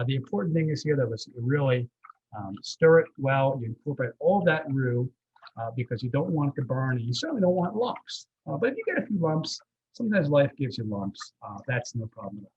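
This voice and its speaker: A man's voice: fairly high pitch, muffled recording, slight background noise. A man giving a live cooking demonstration as guest chef, explaining step by step in a folksy, reassuring, conversational way.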